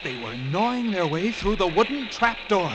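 An actor playing a tense, resourceful lighthouse keeper who narrates a horror story in dramatic classic radio drama style. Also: male voice, high-pitched, very animated, normal speed, noisy background. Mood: gripping dread. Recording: vintage radio recording with loud music.

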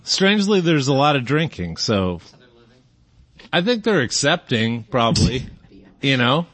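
A man from the American South chatting casually as a podcast guest, laughing often as he tells stories. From Arkansas, US South. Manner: laughing, drawn-out storytelling with lively pitch. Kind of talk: casual chat.

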